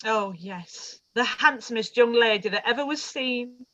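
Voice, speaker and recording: A woman's voice: fairly high pitch, unhurried, lo-fi sound. A woman voicing a chatty, old-fashioned housekeeper who is proud of her master, in a live-read radio play. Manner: chatty, warm, old-fashioned servant speech.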